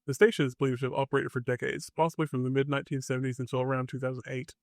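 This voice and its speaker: Male podcast host, medium pitch. Scripted suspense storytelling, deadpan wit, tight dry recording. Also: very fast, creaky, dry recording.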